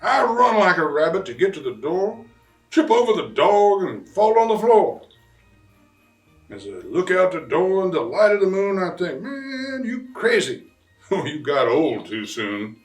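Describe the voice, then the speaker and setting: Man putting on an animated, folksy storyteller. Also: high voice, unhurried, voice pushed up. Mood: excited wonder. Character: excitable Cajun bayou papa telling a Christmas tale. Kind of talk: rhyming storybook reading. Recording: roomy, distant mic, faint music.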